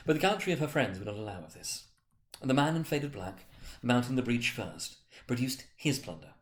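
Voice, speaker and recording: Male storyteller with a medium-pitched voice. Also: sing-song, a little fast. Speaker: reader and narrator. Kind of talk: dramatic scripted narration.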